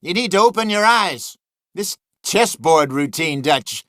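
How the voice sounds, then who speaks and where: An actor playing a scornful, exasperated Old West gang member in a video game, sarcastic and chiding. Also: male voice, high-pitched, animated, measured pace, a bit bright, dry recording, clean studio sound. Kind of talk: video game character barks.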